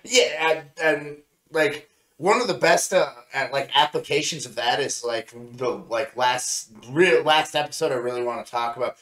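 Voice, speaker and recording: A man co-hosting a review show in casual chat, with a halting, rambling way of telling things. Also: medium pitch, fairly bright tone. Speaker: co-host of an anime review show. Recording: roomy, echoey sound.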